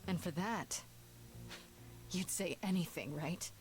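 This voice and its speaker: An actress playing a blunt, defiant young heroine in a dramatic video game scene. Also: female voice, on the low side, takes it slowly, soft background music. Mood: confronting.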